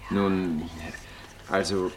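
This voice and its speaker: An actor playing a haughty, condescending duke in a German radio play, with pompous delivery. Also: male voice, sits low, level tone, drawn-out words, noisy background. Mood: imperious.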